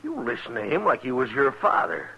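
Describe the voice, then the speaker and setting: An actor playing a shifty, defensive killer in a 1950s radio western, nervous, stammering and blustering. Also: male voice, mid-range voice, huge pitch swings, brisk, sharp tone, slightly rough, exaggerated voice. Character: shifty, defensive frontier murderer, grown man. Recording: muffled 1950s radio recording.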